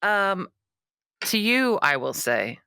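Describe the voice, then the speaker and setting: A woman co-hosting a podcast in casual comedic chat, lively and teasing, asking playful questions. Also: medium pitch, smooth, no echo, studio quality. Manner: lively, teasing, quick to laugh along. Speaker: podcast co-host.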